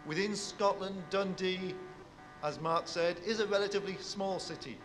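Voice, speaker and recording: Man, university speaker, big voice, slightly high, long hall echo, music underneath. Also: steady tone. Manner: warm, measured address to an audience, big voice. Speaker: university professor giving a speech. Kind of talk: formal public speech.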